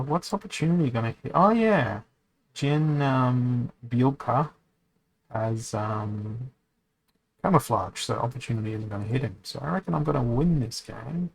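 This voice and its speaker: A man streaming a card game, thinking aloud as he plays, often correcting himself and pausing to hesitate. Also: mid-range voice, creaky, low-quality recording. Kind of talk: casual commentary while playing a card game.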